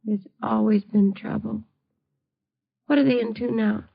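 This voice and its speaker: A dull vintage radio recording carries a fearful bedridden mother. Her high voice is breathy and frayed. She pleads in agitated, bitter repetitions, lonely and fragile, in old radio-drama style. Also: fairly even intonation, measured pace, exaggerated voice. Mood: bitter pleading. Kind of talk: 1950s radio drama acting.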